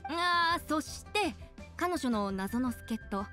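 A woman's voice: high voice, lively intonation, slow, pushed and bright, faint music behind, full character voice. A woman voicing a confident young female contest emcee, announcing formally in a Japanese anime game. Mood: confident, ceremonious.

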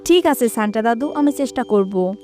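Woman playing young cartoon girl, high pitch, eager, naive, faint music behind. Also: animated, moderate pace, close to an everyday voice. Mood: grateful. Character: excitable, wishful young girl who adores Santa. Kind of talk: cartoon character acting.

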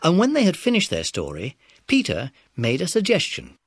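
A man's voice: fairly high pitch, huge pitch swings, normal speed, vocal fry, breathy edge, no room sound, studio quality. A British man narrating a scripted children's story.

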